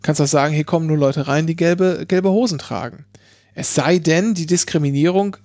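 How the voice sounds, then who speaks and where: A man's voice: on the high side, normal speed, a little mellow, no room sound, studio quality. A man chatting casually, explaining legal points with many fillers and restarts. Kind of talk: casual chat explaining law.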